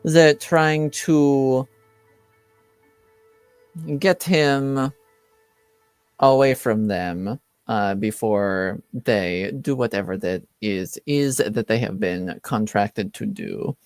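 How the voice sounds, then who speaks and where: A man playing a composed, formal informant in a tabletop roleplaying game, speaking in measured phrases with hesitant pauses. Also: fairly low pitch, a little mellow, clear, resonant tone, dry recording, soft background music. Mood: cool, measured. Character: formal, composed informant with cool professional detachment. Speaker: game master.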